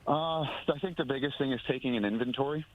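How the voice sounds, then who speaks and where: A man, a moving company owner, speaking on a phone interview, halting with many ums and restarts. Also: medium pitch. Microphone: phone line. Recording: phone line audio.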